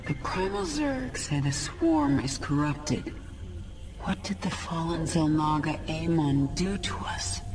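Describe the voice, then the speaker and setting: A woman playing a ruthless queen of an alien swarm in a video game, cool, commanding and deliberate. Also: low-pitched, sing-song, full character voice. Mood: steely resolve.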